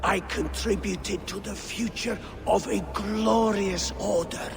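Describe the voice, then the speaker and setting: Pompous, creaky-voiced scheming villain lord. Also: male voice, sits high, fairly even intonation, over music, exaggerated voice. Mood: contemptuous. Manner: pompous, oratorical, measured. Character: grandiose scheming male villain, fanatical conspirator lord. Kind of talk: theatrical villain speech in a video game. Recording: clearly noisy game ambience.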